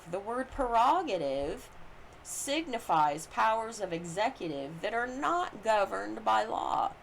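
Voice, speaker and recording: A woman reads a scripted legal and historical commentary aloud in a deliberate, formal manner. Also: lowish voice, low-quality recording. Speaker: reader of a book on the US Constitution. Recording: noticeable background noise.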